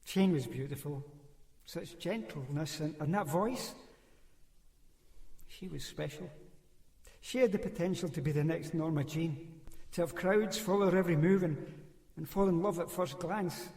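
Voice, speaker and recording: A man playing a distraught, infatuated theatre director in an audio drama, halting and emotional. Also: a little high, darkish tone, roomy sound, close to an everyday voice. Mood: wistful.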